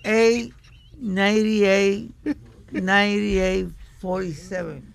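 A man reading out digits haltingly and deliberately, one group at a time. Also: sits high, steady tone, gravelly. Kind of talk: halting reading of a phone number.

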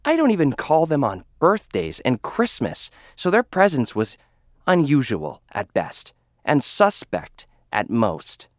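A man's voice: mid-range voice, huge pitch swings, unhurried, dry recording, clean studio sound. A man narrating a scripted audio drama in first person as an arrogant scientist, condescending and dryly sarcastic. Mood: smug. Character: arrogant male scientist who builds people. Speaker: narrator and lead character.

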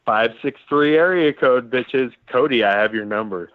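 A man's voice: medium pitch, normal speed. A man co-hosting a podcast in rowdy, teasing casual chat. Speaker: podcast co-host. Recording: dull, lo-fi sound.

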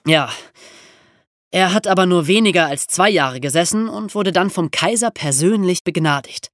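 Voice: medium pitch, normal speed, fairly bright tone, dry recording, clean studio sound. A podcast presenter explaining history as a story, with dramatic emphasis and rhetorical questions.

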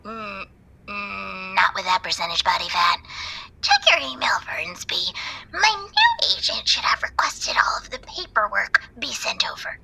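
An actress playing an unhinged, haughty diva with an imperious, sarcastic manner in an audio comedy. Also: female voice, medium pitch, big pitch swings, unhurried, creaky, pushed and bright, slight background noise, exaggerated voice, poor audio. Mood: scornful. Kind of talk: audio comedy drama acting.

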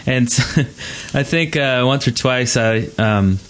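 A man hosting a casual gaming podcast, talking in a rambling, halting way. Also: medium pitch, a bit bright. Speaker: podcast host.

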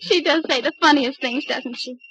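A woman's voice: high voice, normal speed, fairly bright tone, a little hiss or hum, low-quality recording. A woman playing a timid, naive, superstitious young woman in a girlish, anxious old-time radio drama style. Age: young adult.

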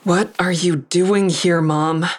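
Guarded, bitter adult daughter, low register, terse, dry studio sound, audio fiction. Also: female voice, fairly even intonation, takes it slowly, no room sound. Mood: tense, confrontational. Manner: terse, confrontational. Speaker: lead actor narrating and playing a daughter. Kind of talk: audio drama acting with first-person narration.

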